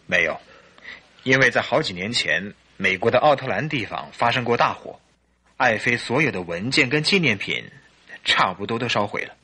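Distraught husband, sharp intense voice. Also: male voice, medium pitch, lively intonation, moderate pace, breathy edge. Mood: troubled. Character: distraught, nervous husband, earnest and troubled. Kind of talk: radio drama acting.

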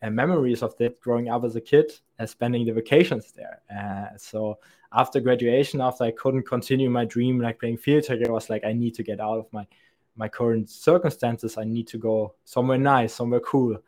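A man's voice: mid-range voice, steady tone, brisk. Young man rambling through interview answers. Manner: rambling and thoughtful, frequent restarts and soft laughs. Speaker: podcast guest, sales account executive.

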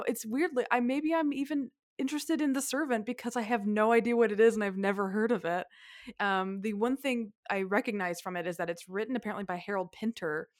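A woman co-hosting a relaxed film podcast, talking in a chatty way with frequent laughs and self-corrections. Her voice sits at a middle pitch. The sound is dry and close, with no room around it. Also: no room sound. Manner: chatty, laughs often, trails off and restarts. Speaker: podcast co-host. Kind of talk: casual film podcast chat.

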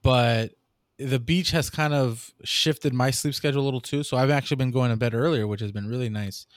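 Young new dad, podcast host, mid-pitched, rambling and animated in his telling, close and dry mic. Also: brisk, no room sound. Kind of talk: casual chat.

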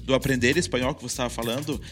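A Brazilian man with a Brazilian Portuguese accent, co-hosting a casual chat, talking in a relaxed, reflective way with frequent restarts. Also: mid-range voice, very fast, soft background music.

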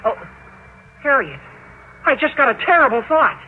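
An actor playing an eager, excitable teenage schoolboy schemer in an old-time radio sitcom. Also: male voice, extremely high pitch, moderate pace. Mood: eager, worried. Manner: eager, animated. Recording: tinny old radio recording with noise.

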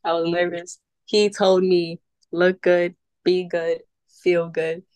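A young woman from Brooklyn talks, upbeat and chatty, her sentences threaded with casual fillers. Her voice sits a little low for a woman. The sound is dry and close, with no room echo. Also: flat delivery, slow, darkish tone, clear, resonant tone. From Brooklyn, New York. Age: young adult. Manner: chatty, upbeat, with many "like" and "you know" fillers. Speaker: podcast guest, model and event host. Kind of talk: casual interview chat.

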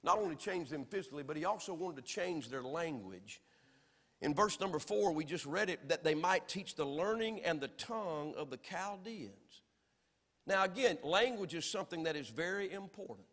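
A Southern man from Alabama preaching in a church. He has a high voice, and the long reverb of the sanctuary hangs after his words. He swings from loud, emphatic lines to soft, quiet ones. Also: lively intonation, normal speed. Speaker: pastor. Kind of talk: sermon.

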